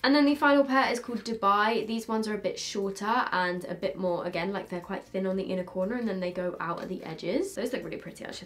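A woman's voice: mid-range voice, a little hiss or hum. Young British woman, twenties, chatty beauty vlogger, casual desk-side unboxing. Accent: British English. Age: 20s. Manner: chatty, thinks aloud, quick asides. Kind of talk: casual chat, unboxing makeup. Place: bedroom filming setup, indoors.

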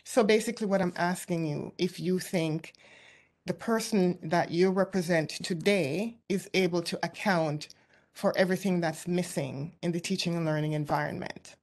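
A middle-aged woman giving an earnest, measured keynote talk over a video call from her office, with some fillers and restarts. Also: on the low side, fairly even intonation, darkish tone. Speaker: keynote speaker. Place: office with window behind. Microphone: laptop webcam on video call.

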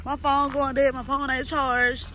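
A woman's voice: high-pitched, a little slow. A young woman, teasing and joking with a friend while they vlog in a car and outdoors on a phone. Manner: animated, teasing banter. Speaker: vlogger. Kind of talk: casual chat. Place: car, then an outdoor farm. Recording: noisy outdoor phone recording.

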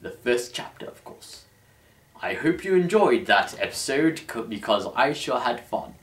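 A young man reads aloud like the host of a dramatic storytelling show. His mid-range voice rises and falls in an animated, mock-formal way. The sound is roomy, picked up by a camera mic in a home room. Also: medium pitch, measured pace. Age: young adult. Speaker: host reading fan fiction aloud. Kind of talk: mock-dramatic scripted narration. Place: small indoor room at home.

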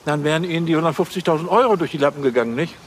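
A self-satisfied, fussy male police officer from a TV crime comedy, played by an actor. He talks fast in a highish voice, over light background noise. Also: natural voice. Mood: smug. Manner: fussy, ends phrases with questioning tags.

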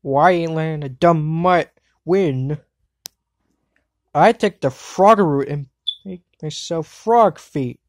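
A woman voice acting as a spirited, excitable anime girl for an amateur dub, exclamatory and theatrical. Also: deep voice, slow, pauses often, no echo. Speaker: amateur voice actor for an anime fandub. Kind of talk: acted cartoon dub clips.

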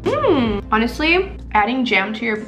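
A woman's voice: fairly high pitch, sing-song, a little slow, a bit bright. A woman in her twenties chatting casually and upbeat to camera as a lifestyle vlogger, peppering her talk with "like". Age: 20s. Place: indoors at home, kitchen and living room. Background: background music.

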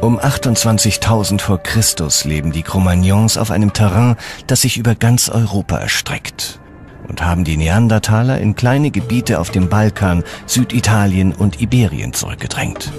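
A man's voice: on the low side, lively intonation, normal speed. A man reading scripted documentary narration with dramatic storytelling delivery. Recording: music behind the voice. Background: background music.

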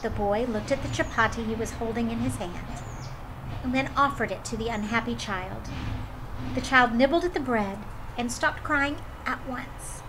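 High-voiced woman reading a folktale. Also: moderate pace, background noise. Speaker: drama storyteller. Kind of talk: expressive children's folktale reading.

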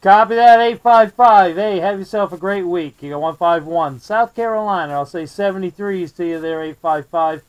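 American man, high voice, courteous CB operator handing out call signs and sign-offs, slight background hiss. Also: moderate pace, hardly any pauses, lo-fi sound. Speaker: CB radio operator, video maker. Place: indoor radio desk.

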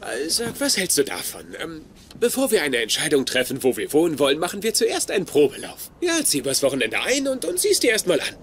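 An actor playing a nervous, excitable young mama's boy in a dubbed sitcom, pleading and stumbling over his words. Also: fairly high pitch, a little fast, background noise. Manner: stammering, pleading, whiny.

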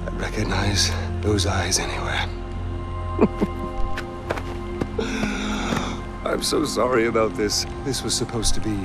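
An actor playing a flamboyant, menacing game villain who is mockingly polite and volatile, speaking with theatrical precision. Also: male voice, on the low side, very animated. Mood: gleeful, mock-courteous. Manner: theatrical, precise, drawn-out emphasis. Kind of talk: dramatic game cutscene acting. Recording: loud music behind voice.

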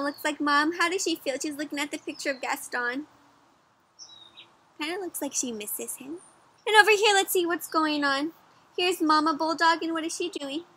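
A young woman reading a picture book aloud to children outdoors, pausing to ask them questions in a teacherly way. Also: high voice. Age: young adult. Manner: animated teacherly questioning, addressing children as friends. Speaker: children's story reader. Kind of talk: interactive picture-book read-aloud for kids. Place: outdoors in a garden or yard.

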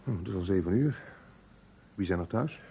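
An actor playing an anxious, hesitant male house guest in a radio play, speaking haltingly with repeated words. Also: deep voice, expressive, vocal fry, husky, exaggerated voice. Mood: uneasy. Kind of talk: Dutch radio drama acting. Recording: muffled, noisy old radio recording.

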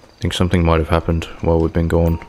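Deep-voiced, cautious zombie survivor played by a man. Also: completely flat delivery, quick pace, warm tone, natural voice. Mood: uneasy. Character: cautious, take-charge male survivor of zombie outbreak. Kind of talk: improvised video game roleplay skit.

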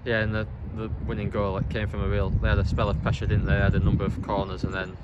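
A man's voice: fairly low pitch, monotone, fast, lo-fi sound, very noisy. A man interviewing a football manager after a match, asking plain questions that often end in tag questions. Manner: plain, leading questions ending in tags. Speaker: club media interviewer.

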